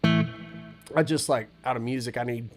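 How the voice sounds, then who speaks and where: A man's voice: mid-range voice, unhurried. Stuffy-nosed man chatting over guitar music. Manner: rambling, relaxed, lots of fillers and restarts. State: stuffy nose. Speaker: livestream host answering chat. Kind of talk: casual livestream chat.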